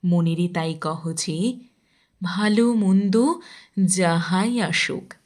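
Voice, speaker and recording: A woman reciting a poem expressively, with measured, deliberate phrasing and drawn-out line endings. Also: medium pitch. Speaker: poetry reciter.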